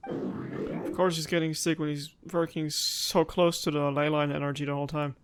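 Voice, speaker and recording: A man's voice: on the high side, flat delivery, normal speed, background noise. A man streaming a let's play, reacting aloud in an emotional, exclamatory way. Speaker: gaming streamer.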